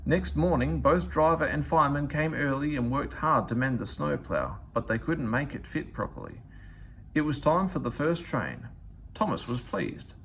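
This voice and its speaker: A man reads a storybook for children with animated, varied intonation. His voice has a gravelly, creaky edge. There is faint noise in the recording. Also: a little high, moderate pace. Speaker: storybook reader. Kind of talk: expressive read-aloud narration for children.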